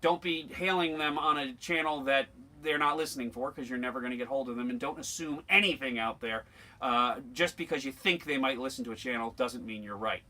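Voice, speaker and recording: A man's voice: mid-range voice, fairly quick, sharp tone. An American man giving an informal, plain-spoken instructional demonstration as a sailing instructor, emphatic and repeating his points.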